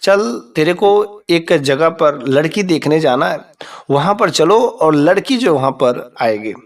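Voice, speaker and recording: A man's voice: a little high, expressive, measured pace, far from the mic. A man voicing a scheming, cruel eldest son in a told moral story, with a persuasive, coaxing menace. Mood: false coaxing. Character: scheming, cruel eldest son plotting against his mother.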